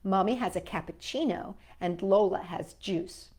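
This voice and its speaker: A woman's voice: mid-range voice, moderate pace, a little mellow, husky. A woman hosting a children's storytime, reading a picture book expressively and chatting to young listeners in asides.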